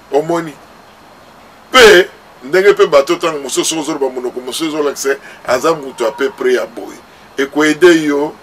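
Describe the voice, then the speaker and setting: A man's voice: on the high side, a bit bright, slight background noise. Middle-aged Congolese man, pastor, earnest teaching tone, recorded a little far from the mic. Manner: earnest, gesturing, mixing French into Lingala. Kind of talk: talk to camera. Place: outdoor porch by a garden.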